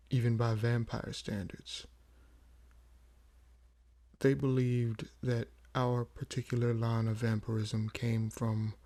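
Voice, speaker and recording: A man performs a weary, proud vampire in an audio drama. The voice is gravelly and creaky, unhurried, and stays on an even, restrained line. He broods through each phrase, leaving heavy pauses. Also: medium pitch. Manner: measured, brooding, pausing often. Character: weary, proud old vampire with a buried past. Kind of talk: slow dramatic audio roleplay monologue.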